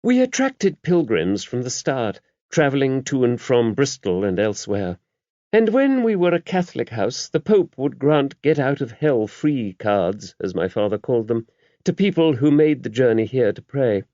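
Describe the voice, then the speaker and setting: A man voicing an older abbess in an audiobook, a shrewd, gently wry woman who talks in a brisk, genteel, confiding way. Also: medium pitch, sing-song, no echo, pitched above the performer's own voice, natural voice. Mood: chatty, shrewd. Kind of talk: audiobook character voice. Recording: dull, muffled lo-fi audio.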